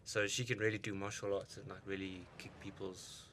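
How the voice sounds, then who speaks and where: A man's voice: deep voice, flat delivery, a little fast, a bit bright, a little hiss or hum. A man co-hosting a radio movie show, musing aloud in casual chat with stumbles and repeated words.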